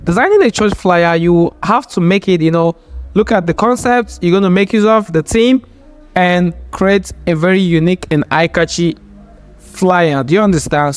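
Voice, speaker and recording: A man hosting a software tutorial, talking through each step informally and often checking in with "all right". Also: high voice. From Nigeria. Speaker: tutorial host. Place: voice-over for a screen recording.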